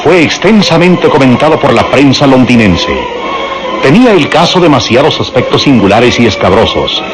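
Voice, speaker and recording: A man's voice: mid-range voice, sing-song, normal speed, close to an everyday voice, poor audio. A man acting as the solemn, suspenseful narrator of a crime radio serial, formal and emphatic. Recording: radio serial with loud music behind.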